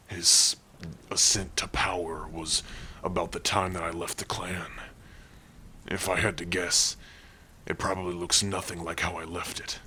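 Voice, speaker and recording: A man playing a gruff but tender, shyly smitten orc in an ASMR roleplay, soft-spoken and hesitant, with pauses and hums. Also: low-pitched, a little hiss or hum, exaggerated voice. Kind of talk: ASMR roleplay addressed to the listener.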